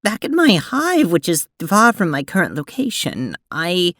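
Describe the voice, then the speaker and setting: A woman playing a prim, earnest alien seamstress in an audio drama, with formal, precise, deliberate diction. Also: lowish voice, wildly swooping pitch, a bit bright, dry recording, studio quality, full character voice. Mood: hurt, restrained. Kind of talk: audio drama acting and narration.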